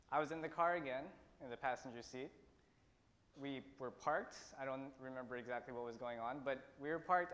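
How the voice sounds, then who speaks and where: A teenage man from India tells a personal story in an earnest way, pausing and restarting as he goes. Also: medium pitch. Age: teens. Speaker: student speaker. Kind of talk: prepared personal talk. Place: auditorium stage. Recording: long hall reverb.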